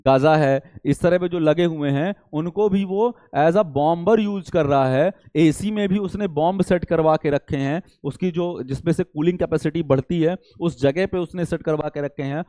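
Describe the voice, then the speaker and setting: A man's voice: fairly high pitch, moderate pace, few pauses, dark tone, no room sound. A man teaching a current affairs lesson in a didactic way, often checking in and posing rhetorical questions. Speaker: teacher for competitive exam students.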